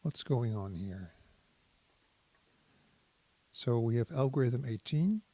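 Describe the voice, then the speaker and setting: A man's voice: medium pitch, lively intonation, slow, warm tone, no echo. A man giving a casual hands-on tutorial, talking as a presenter who thinks aloud while he works. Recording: synthesizer tones behind the voice. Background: synthesizer sounds.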